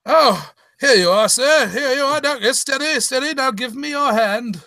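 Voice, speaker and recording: A man playing a flustered, stammering gentleman doctor. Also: very high voice, normal speed, gravelly, dry recording, full character voice. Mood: flustered, helpful. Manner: stammering, flustered, muttering exclamations. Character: bumbling, polite gentleman doctor dressed as Santa. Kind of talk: hammy old-time radio parody.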